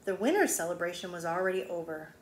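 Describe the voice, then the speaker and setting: A woman's voice: lowish voice, animated, quick pace. Woman reading to children, echoey room. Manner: emphatic, with explanatory asides to young listeners. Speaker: children's teacher reading a picture book aloud. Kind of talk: expressive read-aloud storytelling for young children. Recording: echoey room with faint background noise.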